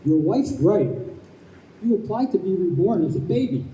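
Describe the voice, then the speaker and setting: A man's voice: high voice, big pitch swings, normal speed, warm tone, dull audio. A man playing a formal, officious gatekeeper of heaven in an old-time radio play. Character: officious, patient heavenly gatekeeper, a male spirit. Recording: echoey noisy live stage, distant microphone.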